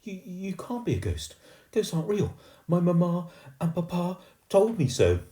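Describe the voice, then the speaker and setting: A man's voice: high voice, sing-song, moderate pace, dark tone, reverberant, pitched above the performer's own voice. A man voicing a posh, prim young girl who is haughty and indignant. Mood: protesting.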